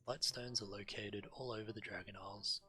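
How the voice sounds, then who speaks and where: A man's voice: on the low side, fairly even intonation, a little fast, sharp tone. A man giving understated, matter-of-fact commentary on a video game and reading quest text aloud. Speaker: game streamer. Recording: game music faintly behind. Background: video game music.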